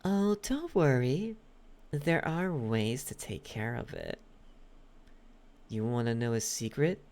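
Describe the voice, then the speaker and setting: A gravelly, possessive demon butler, acted out by a performer for an ASMR roleplay. The voice swoops up and down in a slow, halting coax, clingy and wronged, repeating itself and sinking into breathy whispers. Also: mid-range voice, expressive, a little mellow. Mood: coy, confiding.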